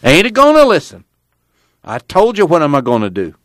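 A man voicing a stubborn, cantankerous old farmer grandfather with folksy rural speech, pitched well above his own voice. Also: very animated, normal speed, fairly bright tone, no room sound, studio quality. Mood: furious. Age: older.

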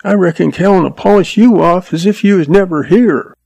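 A man puts on a cheeky frontier lad in his early teens for a Western tale. The voice jumps up high and is defiant, with rustic phrasing. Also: brisk, vocal fry, darkish tone, no echo.

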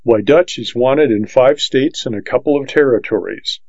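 A man's voice: medium pitch, moderate pace, darkish tone, no echo, natural voice. A man voicing a talkative, gossipy young frontier sidekick who speaks in an offhand way. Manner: chatty, offhand. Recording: dull, lo-fi dry audiobook recording.

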